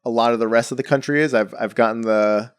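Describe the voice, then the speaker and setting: A man's voice: mid-range voice, fairly even intonation, quick pace, smooth, no room sound, clean studio sound. A young man from the Bronx, a painter chatting casually in an interview and filling his talk with "like" and "you know". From the Bronx, New York. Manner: rambling, heavy on "like" and "you know". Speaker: painter guest.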